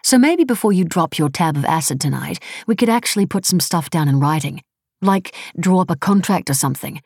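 A woman's voice: lowish voice, animated, brisk, a little mellow, husky, no room sound, studio quality. A woman voicing a defensive, scornful, foul-mouthed woman in an audiobook, blunt and sarcastic with casual phrasing. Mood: earnest, frustrated.

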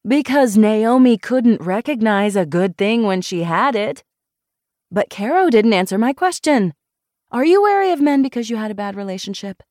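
A woman's voice: fairly high pitch, very animated, a little fast, a little mellow, no room sound, clean studio sound, pitched above the performer's own voice. A woman voicing an outrageous, blunt, bubbly woman doctor in a novel, playful and emphatic. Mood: pressing. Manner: playful, emphatic, mock-dramatic.

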